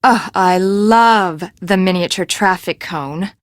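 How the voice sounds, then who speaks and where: A woman's voice: medium pitch, takes it slowly, no room sound, close to an everyday voice. Actress as eccentric woman designer, imperious, theatrical, emphatic, dry close studio sound, video game character. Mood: delighted, affected. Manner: haughty, dramatic, emphatic delivery.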